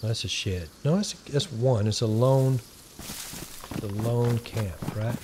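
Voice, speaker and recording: A man with a Texan accent giving relaxed, rambling commentary and thinking aloud while he plays a survival game. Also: mid-range voice, slow pace, noisy background. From Texas. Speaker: gaming channel host. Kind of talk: casual gameplay commentary.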